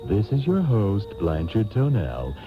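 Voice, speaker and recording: Pompous radio soap host, theatrical swoops, tinny tape, faint music behind. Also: male voice, on the low side, very animated, a little slow. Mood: grandly amused. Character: suave, mock-grand male soap opera host. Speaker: host and narrator. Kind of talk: melodramatic parody radio narration. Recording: tinny band-limited taped radio broadcast.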